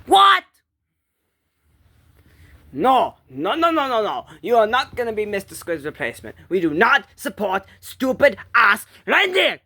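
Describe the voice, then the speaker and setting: A performer voicing a bossy, foul-mouthed bully character in an improvised comedic skit, brash, scolding and given to yelling. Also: medium pitch, huge pitch swings, a little slow, no room sound, heavily acted. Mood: indignant.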